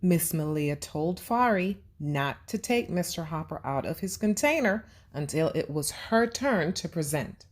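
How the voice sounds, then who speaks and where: A woman reads a storybook for young children in a slightly low, very lively voice. She takes her time and speaks to the children directly, like a teacher guiding a simple lesson. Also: a little slow, few pauses. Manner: expressive, addressing children directly with questions and praise. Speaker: children's read-aloud host and teacher.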